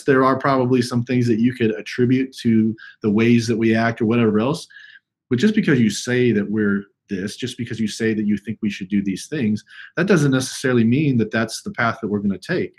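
A man in his thirties with a husky voice hosting a conversational podcast interview, making his points emphatically and restating them. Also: fairly low pitch, darkish tone. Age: 30s. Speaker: podcast host.

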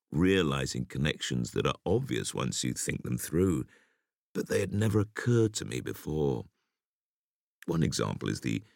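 A man reading a conversational essay for an audiobook in a deliberate, expressive storytelling manner. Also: extremely low pitch, vocal fry, no room sound, studio quality. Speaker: audiobook reader.

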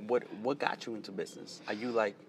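Casual male interviewer, lower voice, friendly and loose, outdoors with faint ambient noise. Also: steady tone, fast, rough recording. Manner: loose and friendly, repeats words. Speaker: interviewer, host.

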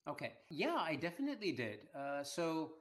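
A middle-aged man who grew up in Iran, speaking as an interview guest in a measured way with frequent fillers. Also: on the high side, huge pitch swings. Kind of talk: conversational interview.

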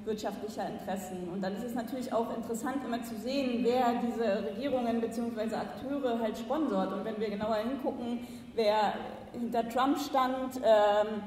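A woman's voice: a little high, moderate pace, darkish tone, slight background noise. A woman speaking as a panelist in a discussion, thoughtful and with fillers. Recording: echoey hall, distant mic.

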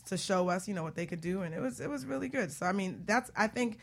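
A woman hosting a radio talk show in a casual, chatty, informal way with animated banter. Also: mid-range voice, fast, creaky.

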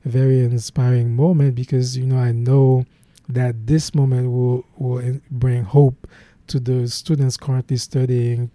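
Earnest Haitian man with a dark voice. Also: mid-range voice, gravelly, no echo. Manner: earnest, many restarts and fillers. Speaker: guest, program founder. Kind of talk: interview.

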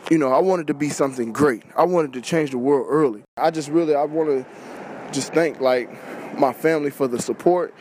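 Male podcast guest, higher-pitched, earnest informal storyteller, faint noise behind. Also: a little fast, a little mellow. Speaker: podcast guest, business owner.

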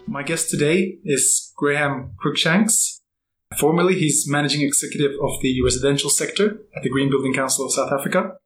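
A man hosting a podcast, reading a guest introduction steadily and then asking questions with soft backchannel replies. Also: fairly high pitch, steady tone, brisk, low-quality recording. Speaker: podcast host. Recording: faint music behind.

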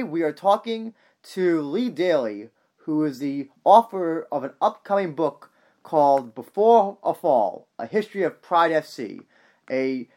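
A man with an American accent hosting an interview podcast in a halting way, often repeating words. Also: fairly high pitch, darkish tone. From United States. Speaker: podcast host.

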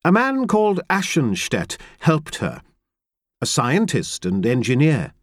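A man reading a novel aloud in first person, with measured, reflective and grave storytelling from a close studio mic. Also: on the high side, wildly swooping pitch, no room sound. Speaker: audiobook narrator. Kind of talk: first-person literary narration.

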